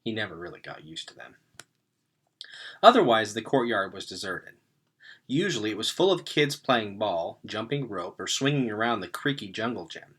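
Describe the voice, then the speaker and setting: A man reading a story aloud as narrator, in an expressive way with dramatic emphasis. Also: lowish voice, moderate pace, slightly rough. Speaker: read-aloud reader.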